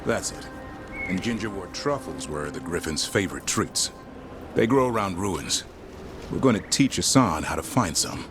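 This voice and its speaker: An actor playing a proud, adventurous elf monster hunter in a video game, talking in short, clipped sentences. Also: male voice, low-pitched, lively intonation, normal speed, over music. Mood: matter-of-fact. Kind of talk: video game dialogue acting.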